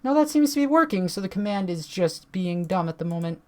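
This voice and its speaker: A woman with a tired voice, chatting casually and swearing now and then as she reads on-screen text and walks through software at her computer. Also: mid-range voice, lively intonation, fairly quick, a little hiss or hum. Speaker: tech video creator.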